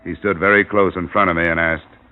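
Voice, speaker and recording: A man's voice: sits low, fairly even intonation, a little fast, clear, resonant tone, close to an everyday voice. An actor playing a dry, tough, persistent investigator who narrates his own case in an old radio crime drama. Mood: wry narration. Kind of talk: hardboiled 1940s-50s radio narration and acting. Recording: muffled old radio recording.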